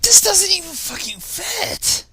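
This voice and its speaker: A man's voice: extremely high pitch, unhurried, no echo. A man hosting a livestream fan fiction reading, narrating expressively and breaking off into casual, exasperated commentary. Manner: expressive, exasperated asides, swearing.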